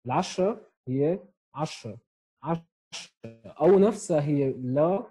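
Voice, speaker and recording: A man's voice: fairly high pitch, expressive, a little slow, warm tone, husky. Young Syrian man teaching over earphones. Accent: Syrian Arabic. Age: 20s. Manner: explains step by step, checks in with "okay?" often. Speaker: sign language teacher. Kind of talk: online lesson. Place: small room at home, indoors. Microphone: earphone headset mic on a video call.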